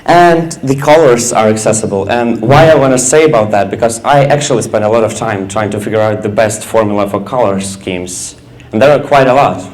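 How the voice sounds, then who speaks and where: A young man giving a casual app demo on stage, halting with many fillers. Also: on the low side, darkish tone, roomy sound. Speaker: student presenter. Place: event stage.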